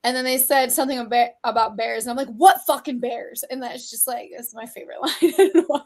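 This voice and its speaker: A woman's voice: on the high side, a little fast, darkish tone. A woman in her thirties, a guest in a casual interview, chatty, laughing often and swearing freely. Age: 30s. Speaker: guest, horror actress and model.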